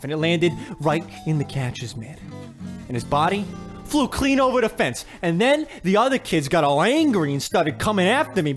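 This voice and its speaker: A man plays a hapless, not-too-bright ballplayer with a very high male voice. He is earnest, folksy and full of boasts, in the manner of old radio comedy. Faint music plays behind him. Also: lightly acted. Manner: earnest folksy storytelling, halting when bluffing. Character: hapless, boastful dim ballplayer posing as a Cuban.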